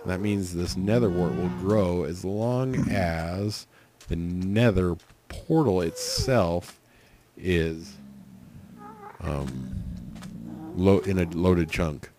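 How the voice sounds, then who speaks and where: A man with a cold, hoarse and raspy, rambling through casual gameplay commentary with lots of fillers. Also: low voice, drawn-out words, warm tone, slight background noise. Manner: rambling, thinking aloud with many fillers. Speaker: let's play host.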